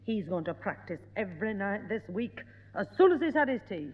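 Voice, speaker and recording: An actress playing an exasperated mother who scolds briskly in a 1960s radio comedy. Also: medium pitch, big pitch swings. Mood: stern. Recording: tinny, echoey old radio broadcast.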